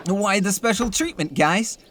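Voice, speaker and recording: A man performs a chatty young gangster cat for a comic dub. His delivery swings widely in pitch, sitting a little high. He is sarcastic and showy, with loud vehicle noise behind him. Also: animated, a little slow. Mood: sardonic. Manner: glib, theatrical, sarcastic. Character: cheeky, chatty young male bootlegger cat. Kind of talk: comic dub character acting.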